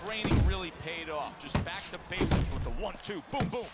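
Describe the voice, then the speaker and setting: An excitable boxing play-by-play announcer in a sports video game. His voice sits high and his calls are punchy and emphatic, with loud music running behind him. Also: a little fast. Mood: excited. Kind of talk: sports commentary. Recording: game music over commentary.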